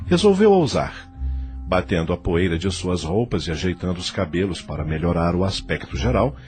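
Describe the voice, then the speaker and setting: A man's voice: deep voice, sing-song, moderate pace, a little mellow, faint music behind. A man with a Brazilian Portuguese accent narrating an audio novel in a theatrical, literary storytelling manner. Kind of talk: dramatized scripted narration of a novel.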